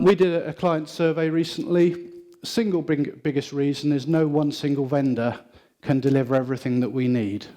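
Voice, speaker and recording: A man's voice: fairly high pitch, darkish tone. A man giving a conversational business presentation at a conference, with frequent ums and restarts. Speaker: conference speaker.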